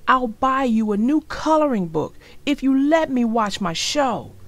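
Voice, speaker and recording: Woman voicing a high-pitched pleading schoolboy. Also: animated, measured pace, no echo. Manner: pleading, earnest. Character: pleading, anxious young schoolboy, exasperated older brother.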